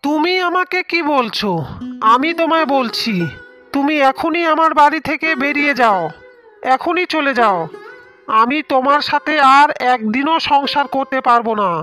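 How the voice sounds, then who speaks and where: An aggrieved village husband from a cartoon fairy tale played by a woman. The voice is high, that of a protective father, over a music bed. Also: normal speed, sharp tone. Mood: indignant, firm. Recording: music under the voice.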